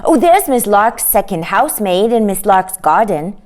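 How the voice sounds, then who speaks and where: A woman voicing an excitable, chatty little boy in a children's storybook, eagerly reporting in breathless exclamations. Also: mid-range voice, normal speed, lightly acted.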